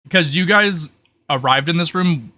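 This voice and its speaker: A man with a bright, crisp-edged voice at middle pitch acts as game master for a tabletop roleplay. He explains casually and informally, rambling a little as he thinks aloud. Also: moderate pace, no echo, clean studio sound.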